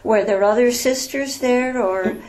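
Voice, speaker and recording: A woman hosts an interview at a slow, unhurried pace. She is gentle and courteous, often pausing with small hesitations as she frames her questions. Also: on the high side. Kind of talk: local interview.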